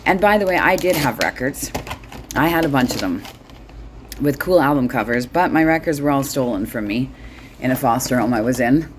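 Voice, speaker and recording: A woman's voice: rumbling low, expressive, normal speed, a little hiss or hum. A middle-aged woman chatting casually in a comedic monologue, blunt, wry and sweary, as the host of her own show. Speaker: solo host.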